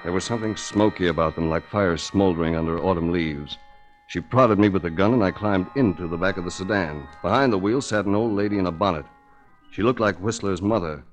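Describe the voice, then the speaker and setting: A man's voice: sits low, fairly quick, dull audio, soft background music, close to an everyday voice. A man playing a suave, wry hard-boiled investigator in a 1940s radio drama, speaking in a dry, urbane, wisecracking way. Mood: wry narration.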